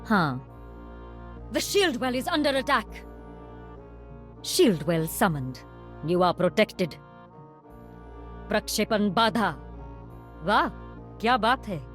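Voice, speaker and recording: An actress voicing an imperious dragon sorceress with an Indian accent, commanding and formal, casting wards in a game. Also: female voice, mid-range voice, very animated, slow pace, pauses often, soft background music.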